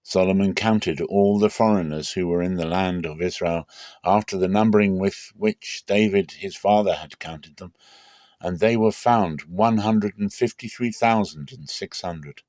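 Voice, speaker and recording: A man giving a dramatic, solemn scripture reading with measured, deliberate phrasing. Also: low voice, darkish tone, no room sound. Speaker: Bible reader.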